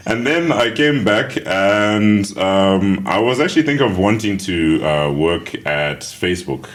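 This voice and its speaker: A man in his thirties from Zambia, a tech founder answering interview questions in a relaxed way, with fillers and chuckles. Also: fairly low pitch, measured pace.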